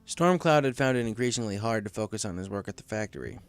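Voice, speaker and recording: A man's voice: fairly low pitch, lively intonation, fast talker, smooth, no room sound. A man reading a story aloud as scripted narration. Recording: faint music under the voice.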